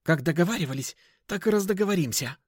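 Male narrator as shady middle-aged saleswoman, rude, bossy, hushed hissing, raised pitch, swooping intonation, audiobook. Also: expressive, fast talker, no echo, studio quality. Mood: snappish, haggling. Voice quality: hushed hissing, breaking into shrillness. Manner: snappish, bossy.

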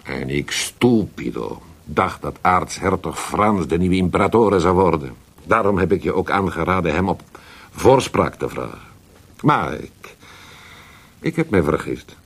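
A worldly Italian poet fallen from favour, in a radio play. His very deep voice is gravelly and swings widely in pitch. He speaks with an Italian accent, theatrical and halting, dropping in Italian words as he schemes. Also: expressive, full character voice. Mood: resigned, bitter. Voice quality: gravelly, husky. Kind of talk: radio drama acting.

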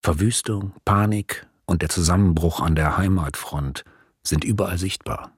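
An actor playing a grim reader of wartime reports in a radio docudrama, grave and husky. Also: male voice, normal speed, dry recording, clean studio sound. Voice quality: deep, husky. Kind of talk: radio docudrama document reading.

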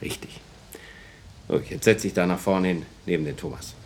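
An actor playing a strict, domineering schoolteacher in a radio drama, barking, sarcastic and pedantic. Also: male voice, low-pitched, normal speed, slight background noise. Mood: stern, commanding. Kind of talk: German radio drama acting.

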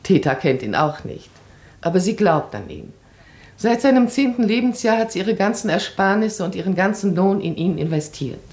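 Self-assured baroness with swooping intonation. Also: female voice, mid-range voice, big pitch swings, moderate pace, darkish tone. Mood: insistent, impassioned. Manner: decisive, eloquent, emphatic. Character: self-assured, perceptive aristocratic baroness, firm and eloquent wife. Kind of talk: radio play acting.

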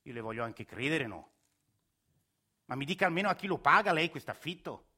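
Man doing skeptical officer, patronizing and soothing, highish bright voice, radio drama. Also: expressive, a little fast, breathy edge, full character voice. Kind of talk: radio theatre acting.

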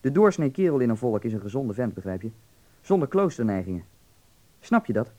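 A man's voice: medium pitch, big pitch swings, a little fast, mellow. An actor playing a worldly young man from Berlin in a radio drama, candid and insistent yet reassuring, explaining patiently and earnestly. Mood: candid, dismissive.

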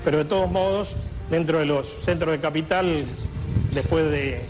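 A man's voice: on the high side, normal speed, over music. An older man with an Argentine accent giving conversational spoken testimony.

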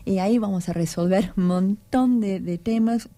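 Radio host, woman from the United States, mellow dark tone, dry studio sound, reflective and persuasive. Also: medium pitch, moderate pace, no room sound. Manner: reflective, gently persuasive, with frequent fillers. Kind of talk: radio talk show. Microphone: studio microphone.

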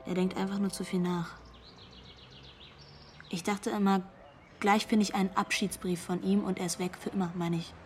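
A woman's voice: medium pitch, little pitch movement, normal speed, pauses often, slight background noise, lightly acted. An actress playing a frank, troubled young girlfriend giving testimony in a radio drama, blunt and confiding. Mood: wistful, anxious. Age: young adult.

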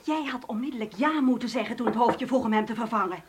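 An actress playing an anxious, ambitious, nagging wife in a radio drama, insistent and fretful. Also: female voice, on the high side, quick pace, lightly acted. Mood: reproachful, insistent. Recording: noisy, clicks and clattering dishes.

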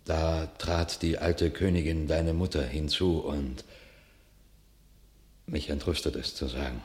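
Stern loyal knight, low voice, declamatory delivery, grave bearer of bad news, classical radio play. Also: male voice, steady tone, slow pace, a little mellow. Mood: grave, indignant. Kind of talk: classical German stage verse radio drama.

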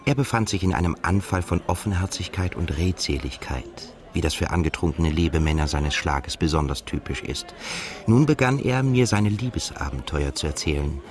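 A male actor playing a melancholy, haunted émigré narrator in a literary radio drama, speaking in a measured, reflective way. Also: low-pitched, faint music behind, lightly acted. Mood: detached, observant.

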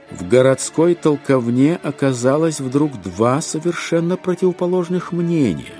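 A man narrating a literary audio drama in an expressive, theatrical storytelling manner with wry irony. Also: mid-range voice.

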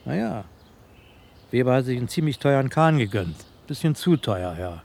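An actor playing a cynical, chatty insider passing on police gossip in a sardonic, colloquial way in a radio drama. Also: male voice, medium pitch, very animated, normal speed, gravelly, darkish tone. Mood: sardonic, explaining. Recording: faint outdoor ambience with birdsong. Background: birdsong, ambient noise.

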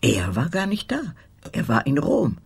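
Casting: elderly loyal housekeeper, extremely husky, unhurried but swinging in pitch, terse and guarded. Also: female voice, huge pitch swings, heavily acted. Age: older. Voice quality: very husky, breathy. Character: guarded old Polish housekeeper, loyal and curt. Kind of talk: radio crime drama dialogue.

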